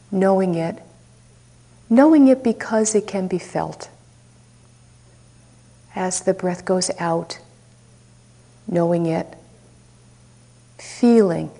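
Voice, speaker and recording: A woman teacher leading a guided meditation, speaking softly and unhurriedly with long pauses. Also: on the low side.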